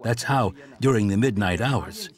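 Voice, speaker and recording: Male dub voice, documentary translation, measured and reflective, pitch moves a lot. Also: animated. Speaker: voice-over dub of an interviewee.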